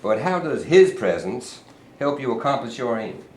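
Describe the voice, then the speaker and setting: A man's voice: mid-range voice, sing-song, moderate pace, close to an everyday voice. A man reading a sly, genial governor. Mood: evasive. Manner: evasive, patronizing, mock-genial. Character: sly smooth-talking male state governor. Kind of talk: staged play reading. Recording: echoey room, mic at a distance, faint hiss.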